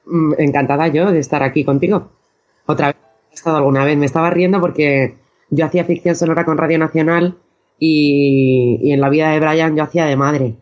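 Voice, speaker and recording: A woman's voice: deep voice, fairly even intonation, a little fast, darkish tone. A woman from chatting casually as an interview guest. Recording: dull, band-limited sound.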